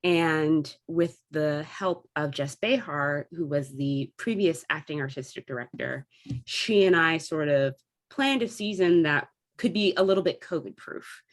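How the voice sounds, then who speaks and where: A woman's voice: low voice, measured pace. A woman speaking as an interview guest, explaining things in an articulate, conversational way. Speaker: theatre artistic director and actor, interview guest.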